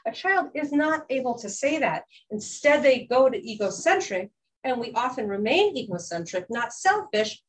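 A woman's voice: sits high, poor audio. A woman life coach giving an earnest teaching talk, often restarting and repeating herself as she explains.

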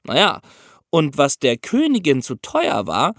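A man hosting a podcast, telling history in a conversational, expressive storytelling style for young listeners. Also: fairly high pitch, unhurried, no echo, studio quality. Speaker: podcast host.

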